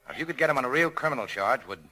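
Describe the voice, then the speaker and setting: A man's voice: mid-range voice, animated, racing, bright, forceful tone, poor audio. A male actor playing a cynical but self-sacrificing American nightclub owner in a 1940s radio drama, with a clipped, tough, terse delivery. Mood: brisk, guarded.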